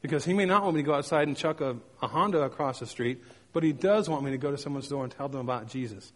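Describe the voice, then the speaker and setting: A man's voice: medium pitch, quick pace, long reverb tail. A man delivering a sermon, telling personal stories with emphatic repetition and playful sound effects. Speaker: pastor.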